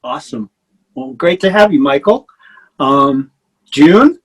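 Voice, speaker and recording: A man hosts a casual fan discussion and calls on guests one at a time. His voice sits a little high, and his manner is friendly and easygoing. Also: lively intonation, slow, slightly rough, poor audio. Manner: friendly, introduces each guest in turn. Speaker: host. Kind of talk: hosting an online fan talk.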